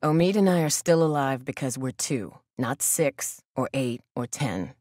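A woman's voice: very deep voice, expressive, a little slow, darkish tone, no echo. A woman voice actor playing a guarded, blunt, protective young survivor of a zombie apocalypse in a video game, with a dry delivery. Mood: dry, cautionary.

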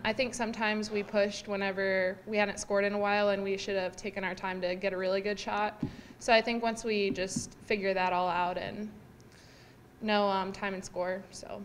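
Young woman, college player, postgame presser, table mics, faint room noise. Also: mid-range voice. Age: young adult. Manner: measured, with frequent fillers. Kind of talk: postgame press conference answers. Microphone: table mics in front.

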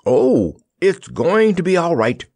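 A man voicing a cheerful, gallant wizard from a storybook, calling out loudly and heartily. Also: on the high side, sing-song, a little slow, no room sound, clean studio sound, pitched above the performer's own voice, exaggerated voice. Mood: joyful. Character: cheerful, gallant old wizard shouting optimistically.

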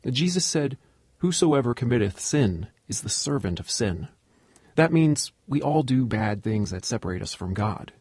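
An actor playing an earnest, persuasive preacher to prisoners in a radio drama. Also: male voice, medium pitch, animated, normal speed, a little mellow, slightly rough, no echo, studio quality.

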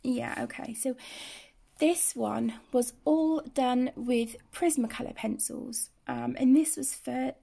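A woman with the flu, croaky and often half-whispering, casually showing her finished colouring pages as the channel host. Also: on the high side, warm tone. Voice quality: hoarse, often drops to a whisper. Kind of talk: casual flip-through commentary. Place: indoors at a table.

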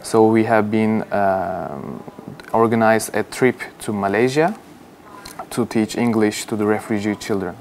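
A young man from Turkey speaks English with a Turkish accent in a somewhat low voice, explaining things steadily with frequent "uh" pauses. He is recorded on a lapel mic in an open-plan office, with a faint hum of ambience behind him. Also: gravelly, a little mellow. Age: young adult. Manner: measured, gestures while explaining, frequent "uh". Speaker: university alumnus. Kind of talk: interview answers.

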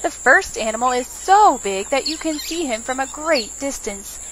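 A woman's voice: high-pitched, expressive, moderate pace, fairly bright tone, a little hiss or hum, poor audio. A woman narrating a children's storytime, putting simple questions and instructions to young listeners. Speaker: children's librarian reading stories.

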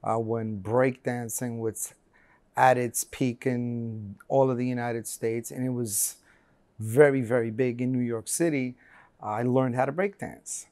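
A man's voice: mid-range voice, fairly even intonation, measured pace, darkish tone, no room sound. A man in his forties from Colombia, telling his life story in an earnest interview with many fillers and restarts, on a lapel mic. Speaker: interview guest. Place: bright room with large windows.

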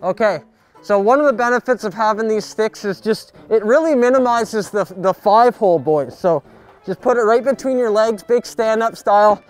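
A young man outdoors giving a jokey sports tutorial, coaching in a mock-serious, deadpan way full of hockey slang. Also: sits high, moderate pace, smooth, low-quality recording. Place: backyard, outdoors. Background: music.

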